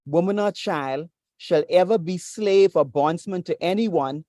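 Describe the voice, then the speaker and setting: A preacher speaks in a measured, deliberate way, reading and teaching over a video call. His voice sits at a middle pitch, and the sound is dry and close. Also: mellow, dry recording. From Bahamas. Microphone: video call with screen share.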